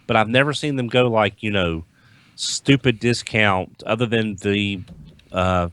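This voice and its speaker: Halting, lowish-voiced man chatting on a podcast. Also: a bit bright, clear, resonant tone, no room sound. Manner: halting, repeats words as he starts. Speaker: podcast panelist. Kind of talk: casual chat.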